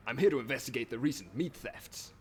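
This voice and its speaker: A man's voice: mid-range voice, expressive, fast, natural voice. Man playing a flustered professor puppet, noisy recording. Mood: earnest, dry. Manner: stammering, flustered, easily exasperated. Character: earnest, exasperated professor explorer puppet, adult man. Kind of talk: comic puppet-show musical performance.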